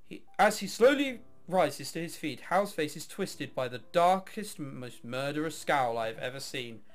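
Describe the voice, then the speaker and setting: A man's voice: fairly high pitch, very animated, moderate pace, faint music behind. A man reading a visual novel aloud, mixing dramatic narration with casual, offhand commentary. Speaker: video game let's player.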